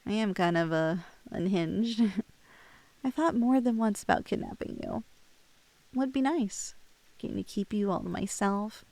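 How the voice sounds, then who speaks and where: A woman's voice: mid-range voice, unhurried, a little mellow, dry recording, lightly acted. A woman playing a lovestruck, clingy young woman in an intimate ASMR roleplay, playful and hesitant, with a teasingly unhinged streak. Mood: teasing, possessive.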